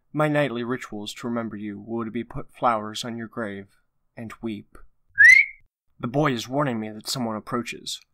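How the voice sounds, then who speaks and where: A man's voice: medium pitch, fairly bright tone, dry recording, natural voice. A man playing a proud, mournful and righteous young nobleman in a dramatic audio drama, speaking in an earnest, declamatory way. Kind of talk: dramatic audio drama acting, modernized Shakespeare.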